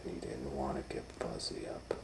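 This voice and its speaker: A middle-aged man reading a picture book aloud for children, dropping to whispers and rising to loud excited voices for the story. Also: extremely low pitch, moderate pace, gravelly, echoey room, heavy background noise. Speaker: storytime reader.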